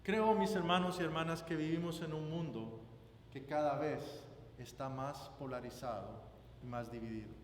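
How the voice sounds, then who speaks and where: A male preacher with a Guatemalan Spanish accent and a slightly high-pitched voice delivers a sermon. He speaks in deliberate, emphatic phrases, often repeating them. The sound is echoey, from a reverberant hall with faint noise behind him. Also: fairly even intonation. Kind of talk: sermon with scripture reading and personal anecdote. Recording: roomy echoing hall, background noise.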